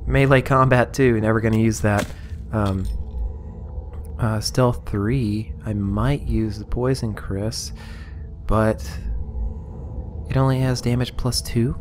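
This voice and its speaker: A man casually commenting on his game as he plays, rambling and amused, a little hoarse. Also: lowish voice, steady tone, takes it slowly, pauses often, a little hiss or hum. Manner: rambling, self-amused, sorting inventory aloud. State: slightly hoarse. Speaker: let's play host.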